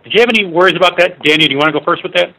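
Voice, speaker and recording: Male sports reporter on a conference call: bright, slightly high voice, informal, stumbling questions. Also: rough recording. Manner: halting, rambling, informal questions. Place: remote on a media conference call.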